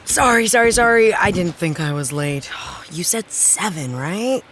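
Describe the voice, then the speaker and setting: A woman's voice: on the low side, lively intonation, unhurried, music in the background. A woman playing a dryly teasing, apologetic acquaintance in a naturalistic audio drama.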